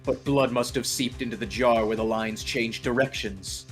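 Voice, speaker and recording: A man performs a grave man of the law from a courtroom video game. His delivery is formal and measured, at a middling pitch. Music sits under the fan-dub voice. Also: fairly even intonation, natural voice. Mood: grave, solemn.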